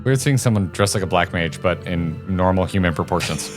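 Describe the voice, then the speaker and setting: Low-voiced enthusiastic man, casual gaming commentary. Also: a little fast. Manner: enthusiastic, slangy. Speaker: co-host of a gaming reaction show. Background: music behind the voice.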